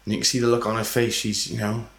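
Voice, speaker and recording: A man with a cold-roughened voice, co-hosting a casual podcast and retelling a film's plot with swearing and acted-out lines. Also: on the low side, completely flat delivery, fast, reverberant. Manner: loose retelling, sweary, acts out bits of dialogue. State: hoarse from a cold. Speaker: podcast co-host. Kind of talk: casual film recap chat.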